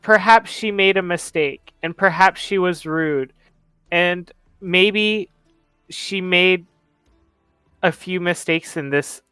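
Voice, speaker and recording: A man's voice: sits high, slow, pushed and bright, clean tone, no echo, faint music behind, exaggerated voice. A man voicing an earnest, kind teenage boy in a tabletop roleplay, pleading hesitantly. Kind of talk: tabletop roleplay character speech.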